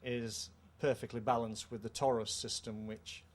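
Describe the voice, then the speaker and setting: A middle-aged man with a British accent gives a measured, formal technical explanation of a product. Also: mid-range voice, level tone. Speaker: company co-founder presenting a product. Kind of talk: technical product presentation in an interview. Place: indoor trade show room. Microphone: handheld microphone. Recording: clear background noise, show-room ambience.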